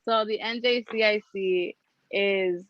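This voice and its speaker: A woman's voice: medium pitch, steady tone, takes it slowly, no echo, highs cut off. Slangy, upbeat young woman host. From New Jersey. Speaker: podcast host. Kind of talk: casual chat.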